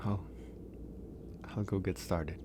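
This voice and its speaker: A man acting a gentle, flirtatious, lonely werewolf in a soft-spoken ASMR romance roleplay. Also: deep voice, steady tone, background noise. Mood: grateful, caring. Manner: soft-spoken, unhurried, reassuring.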